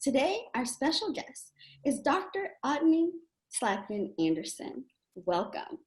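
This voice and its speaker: A woman presides as host and introduces her guest in an upbeat, welcoming way. Her voice sits fairly high and moves up and down a great deal. The sound carries the echo of a roomy space. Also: very animated, a little slow. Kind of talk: hosting an online reading series interview.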